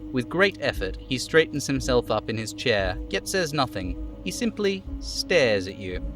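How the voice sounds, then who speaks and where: A man's voice: medium pitch, big pitch swings, measured pace. A man streaming a game, giving a live dramatic reading of its narration and dialogue. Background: faint music.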